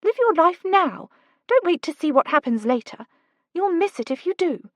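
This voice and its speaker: A woman voicing Kate, a spirited young woman urging her friend to act, in a higher, coaxing voice. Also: very animated, moderate pace, dry recording. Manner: urgent, coaxing.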